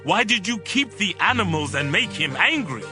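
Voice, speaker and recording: An actor playing a stern, indignant prophet in a dramatized scripture reading, declaiming with command. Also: male voice, high voice, big pitch swings, normal speed, bright, forceful tone, faint music behind, full character voice. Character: stern, indignant male prophet rebuking a king.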